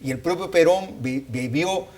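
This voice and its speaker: A man giving unscripted analysis as a panelist, explaining deliberately and repeating points for emphasis. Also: on the high side, a little mellow, a little hiss or hum. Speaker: political panelist.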